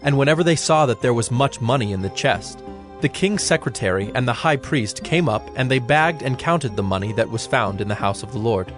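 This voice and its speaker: A man's voice: mid-range voice, expressive, a little fast. Solemn male scripture narrator over faint music.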